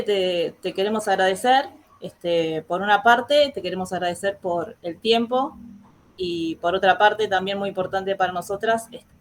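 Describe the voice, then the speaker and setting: An Argentine woman hosting an online educational talk, pausing often on filler words as she introduces guests and asks questions. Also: mid-range voice, slight background noise. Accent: Argentine Spanish. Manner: hesitant, many filler words. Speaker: host. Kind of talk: casual online interview.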